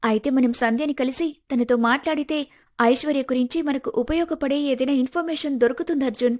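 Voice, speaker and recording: Lady playing a protective young woman in a cartoon story, high voice, earnest, suspicious, always probing. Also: brisk, a little mellow, lightly acted. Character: suspicious, protective young woman helping her male friend. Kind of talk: animated moral story voice acting.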